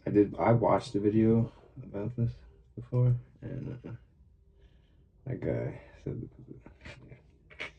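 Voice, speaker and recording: A man's voice: lowish voice, animated, slow pace, vocal fry, long pauses, breathy edge, dull audio. A man with a stuffy voice from a cold, talking casually and muttering to himself as he builds a small model truck. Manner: mutters to himself while working. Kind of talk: casual build vlog talk.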